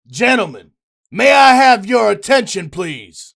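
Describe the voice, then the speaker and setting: A blustering, sardonic police detective acted by a man talks in a high voice for a man, with a gravelly rasp. He is cocky and bossy, as heard in a comic dub. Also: big pitch swings, unhurried, a bit bright, full character voice. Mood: sarcastic. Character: brash, sarcastic, cocky male police detective.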